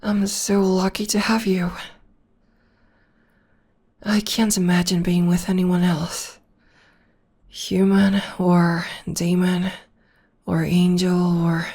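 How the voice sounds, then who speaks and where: A young woman voicing a tender, protective witch girlfriend in an ASMR roleplay, speaking softly and often whispering close to the microphone. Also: on the low side, level tone, slow, pauses often. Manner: soft and soothing, often whispering. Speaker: voice actor.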